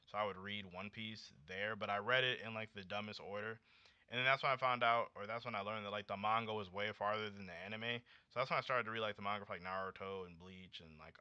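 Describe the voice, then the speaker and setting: A young American man in his late twenties talks casually as a livestream host. His voice is very bright and punchy, with a slangy, animated delivery. The audio is slightly dull and lo-fi. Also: fairly low pitch, clear, resonant tone, no room sound. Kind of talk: casual livestream chat with viewers.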